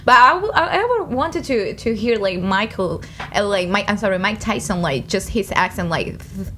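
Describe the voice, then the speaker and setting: A woman's voice: mid-range voice, expressive, moderate pace, bright, forceful tone. A woman with a Nicaraguan accent, a native Spanish speaker, chatting casually and rambling as a talk show guest. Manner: rambling, informal. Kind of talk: casual chat. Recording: noisy live webcast.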